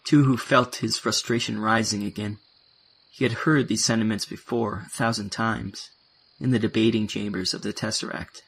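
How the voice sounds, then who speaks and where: A man with a gravelly, husky voice reading scripted third-person narration for an audio drama. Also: lowish voice, fairly even intonation, normal speed, fairly bright tone.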